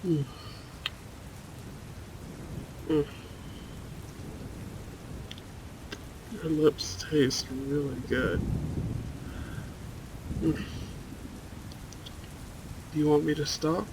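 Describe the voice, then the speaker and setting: Handsome vampire played by a man for roleplay ASMR: slightly high male voice, unhurried, soothing, breathy, seductive and protective. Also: fairly even intonation. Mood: tender, flirtatious. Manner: soothing, breathy murmurs, often dropping to whispers. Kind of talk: roleplay ASMR, intimate and soft-spoken. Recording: faint storm noise behind. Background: rain and thunder.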